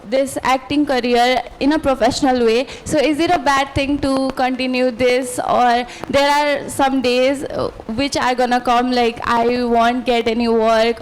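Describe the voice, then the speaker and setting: A woman's voice: fairly high pitch, poor audio. Hesitant young woman from Nepal. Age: young adult. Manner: hesitant, many fillers. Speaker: student asking a question. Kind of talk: asking a question at a panel.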